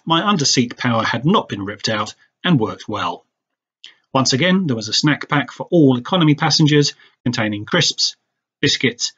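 A man's voice: medium pitch, a bit bright, slightly rough, highs cut off. A middle-aged British man reading a scripted travel vlog voice-over with dry, wry understatement. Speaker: travel vlogger.

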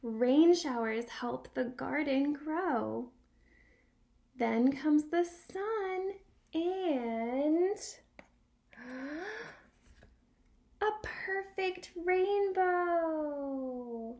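Young female read-aloud teacher for little kids: high, unhurried, animated, sing-song delivery. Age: young adult. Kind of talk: picture-book read-aloud for young children with sign lessons. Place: small room at home by a window.

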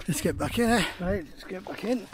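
A man's voice: high-pitched, background noise. An older man, chatting cheerfully to himself and to a camera while fishing outdoors on a riverbank. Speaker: angling vlogger. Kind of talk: casual running commentary while fishing. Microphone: action camera mounted on the bank.

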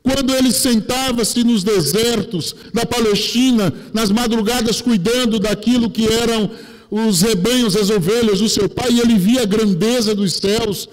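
A man's voice: high voice, moderate pace. A middle-aged man with a Brazilian accent preaching a sermon as a pastor, emphatic and explanatory, on a headset mic in a church. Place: church pulpit, indoors. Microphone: headset microphone. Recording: long hall reverb.

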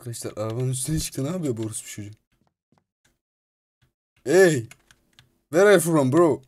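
A man giving excitable, slangy, foul-mouthed gameplay commentary as he chats in a roleplay game. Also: medium pitch, a little fast. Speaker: video host playing a roleplay game. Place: indoors at a computer, voice over gameplay. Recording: clear background noise, keyboard clicking.

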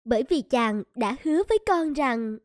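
Woman playing a high-voiced, dutiful young princess. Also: lively intonation, moderate pace, no room sound, lightly acted. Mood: respectful. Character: young gentle princess, dutiful daughter of a king. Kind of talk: cartoon fairy tale dubbing.

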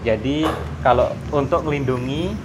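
A man in his thirties talks through his hiking gear in a patient, step-by-step way, pausing often on fillers. His voice sits at a middle pitch. Clear traffic noise from the street runs behind him on an outdoor porch. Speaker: hiking community host showing gear. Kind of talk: casual show-and-tell explanation. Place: outdoor porch by a house, seated on the floor. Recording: noisy street ambience with passing cars.

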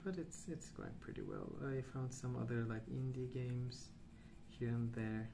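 A man speaking softly and hushed in an unhurried, halting ASMR ramble, often trailing off. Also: medium pitch, big pitch swings. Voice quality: soft, hushed. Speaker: ASMR video host. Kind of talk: soft-spoken ASMR rambling. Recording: clear background noise.

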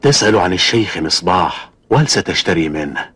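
A man voicing a gossipy, suspicious middle-aged fisherman in a cartoon, with deliberate, dramatic delivery. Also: low-pitched, sing-song, fairly bright tone, breathy edge, no room sound, exaggerated voice. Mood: curious, friendly. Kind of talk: Arabic cartoon dubbing.

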